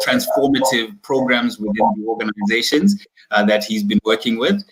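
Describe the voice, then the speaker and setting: A man's voice: fairly low pitch, fairly bright tone, low-quality recording. A man hosting an online executive interview, upbeat and chatty, with many fillers and restarts. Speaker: host. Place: at home, video call. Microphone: video call.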